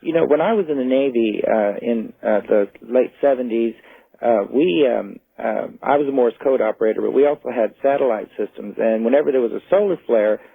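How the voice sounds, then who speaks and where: Gravelly middle-aged American caller on phone. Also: male voice, mid-range voice, fairly quick, no room sound. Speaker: radio show caller. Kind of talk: casual phone-in chat. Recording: narrow phone line on radio.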